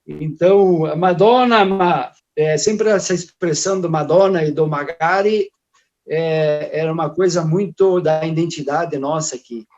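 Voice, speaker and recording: A man with a southern Brazilian accent, talking casually and haltingly as a guest on a video call panel. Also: on the high side, a little mellow. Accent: Rio Grande do Sul Portuguese. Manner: hesitant, many restarts and fillers. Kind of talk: casual conversational talk. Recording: dull lo-fi video call audio.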